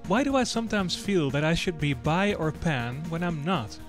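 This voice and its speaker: A high-voiced man reads out scripted questions with lively, swinging intonation. Faint music plays behind him. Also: moderate pace. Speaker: reader of viewer questions. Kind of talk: scripted reading of short questions. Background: music under the voice.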